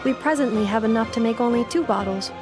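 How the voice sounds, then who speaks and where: Female robot companion played by a woman, fairly high voice, polite, exact, matter-of-fact, game dialogue over music. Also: fast, natural voice. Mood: unruffled, dry. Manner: precise, formal, unruffled. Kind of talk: video game voice acting. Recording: background music behind the voice.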